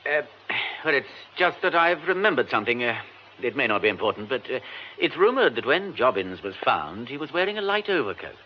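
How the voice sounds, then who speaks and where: Radio drama male actor: affable, theatrical British thespian, very expressive pitch, self-mocking, slightly muffled. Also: a little fast, lightly acted. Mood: sheepish. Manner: theatrical, hesitant, wry. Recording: muffled radio broadcast, faint noise.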